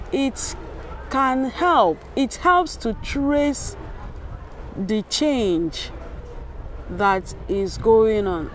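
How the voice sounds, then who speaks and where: A middle-aged woman with a Nigerian accent explains research as a linguist, haltingly, often repeating and rephrasing her words. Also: medium pitch, slight background noise, rough recording. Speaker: academic linguist. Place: outdoors in a yard with sculptures. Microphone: clip-on lapel microphone.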